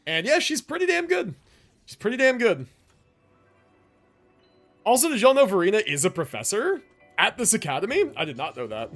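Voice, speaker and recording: Animated man livestreaming over faint game music. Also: sits high, normal speed, long pauses. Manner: laid-back slangy enthusiasm, swears casually. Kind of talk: casual livestream commentary. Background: video game music and character voices.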